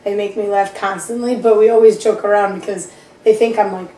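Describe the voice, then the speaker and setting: A young woman in her early twenties talks about teaching math as a podcast guest, rambling and poking fun at herself. Her voice is mellow and soft-edged. She sits a little away from the mic in an echoey room. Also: mid-range voice, steady tone, a little fast, recorded from a distance. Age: 20s. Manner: laughs easily, self-deprecating, rambling. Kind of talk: casual chat.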